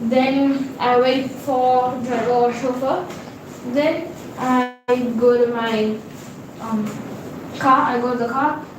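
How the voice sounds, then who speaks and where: Slow, echoey Indian-accented woman streamer. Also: high-pitched, distant mic. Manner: slow, halting, with many fillers and restarts. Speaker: livestream host and tutor. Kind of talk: casual livestream, reading chat and teaching math. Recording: very echoey room, voice off-mic, noisy background.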